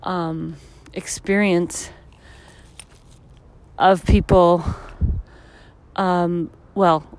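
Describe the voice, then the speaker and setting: A woman in her thirties talks through her thoughts while out on a walk, meandering and full of fillers. Her voice sits low and a touch husky from tiredness. She sounds like a podcast host thinking aloud. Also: level tone, drawn-out words, pauses often. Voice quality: slightly deep, husky from tiredness. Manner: reflective, rambling, many fillers. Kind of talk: casual solo podcast monologue. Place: walking outdoors on a street.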